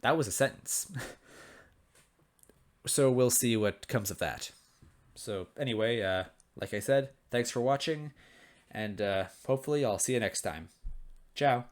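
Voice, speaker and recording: A man's voice: medium pitch, unhurried, long pauses. A man reading a story aloud as an amateur host, with casual, self-deprecating asides.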